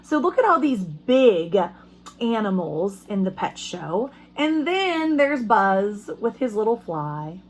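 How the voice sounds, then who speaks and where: A woman speaking as an elementary school teacher, reading aloud to children in simple, expressive language and putting questions to them. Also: medium pitch, slow pace, slight background noise.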